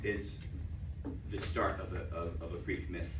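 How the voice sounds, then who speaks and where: An American man informally introducing his own music, halting and repeating words as he explains it. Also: deep voice, little pitch movement, noisy background. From United States. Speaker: musician presenting own composition. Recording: muffled, roomy, distant mic, loud music.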